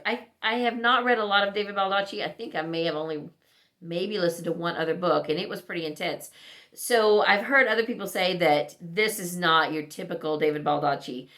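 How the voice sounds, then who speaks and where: A woman's voice: fairly low pitch, fairly bright tone. A middle-aged woman chatting casually to viewers as a book vlogger, in a rambling, conversational way. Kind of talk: casual chat to viewers about books.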